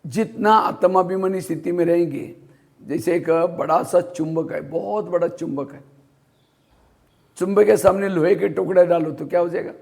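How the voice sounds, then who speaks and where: A man's voice: sits high, steady tone, moderate pace, vocal fry, a little mellow. A man giving a spiritual class, telling anecdotes and asking rhetorical questions that he answers himself. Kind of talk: Hindi spiritual discourse.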